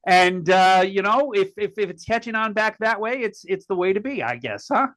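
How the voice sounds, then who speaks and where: Male podcast host from Connecticut, raised and bright, folksy, restarts his sentences often. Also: high-pitched, lively intonation. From Connecticut, USA. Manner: folksy, chatty, halting with repeated words. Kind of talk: casual interview talk.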